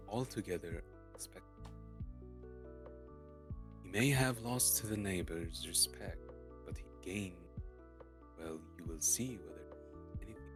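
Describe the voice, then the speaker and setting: A man reading a storybook aloud in a halting way, stumbling and repeating words as he goes. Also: low-pitched. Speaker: streamer reading a novel aloud. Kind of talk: dramatic storybook reading, unrehearsed. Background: soft lofi guitar music.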